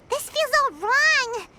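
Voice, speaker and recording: A woman's voice: very high-pitched, very noisy, heavily acted. A woman voicing a tiny, childlike fairy companion in a video game, eager, blunt and outspoken. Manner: excitable, blunt, folksy. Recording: background music under the voice.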